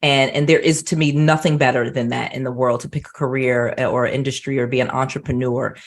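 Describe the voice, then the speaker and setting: A woman's voice: extremely low pitch, fairly even intonation, fairly quick. Chatty woman hosting an interview. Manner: conversational, frequent restarts and "you know what I mean". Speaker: host. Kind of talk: casual interview chat.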